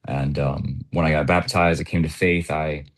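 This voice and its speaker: A man in his twenties from Chicago speaks with an extremely deep voice. He shares his personal story casually as a participant on a group video call. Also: steady tone, normal speed. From Chicago, United States. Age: 20s. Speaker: program participant being interviewed.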